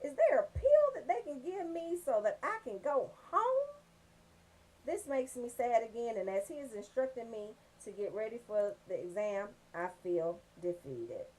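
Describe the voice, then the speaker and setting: An American woman hosting a comedic radio show, telling stories in an animated, folksy, profane way. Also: a little high, moderate pace, slightly rough, low-quality recording. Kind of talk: comedic radio banter and storytelling.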